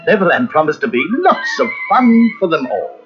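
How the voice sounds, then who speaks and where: Highly animated, high-pitched male storybook narrator. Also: moderate pace. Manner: dramatic, expressive storytelling. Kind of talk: scripted children's storybook narration. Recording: muffled cassette with music behind.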